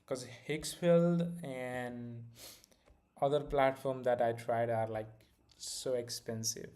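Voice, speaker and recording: A man's voice: medium pitch, steady tone, takes it slowly, mellow. A young man with an Indian accent, hosting a live stream and chatting loosely with many fillers while demoing a product. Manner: loose, filler-heavy, restarts often. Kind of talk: casual live-stream chat and product demo.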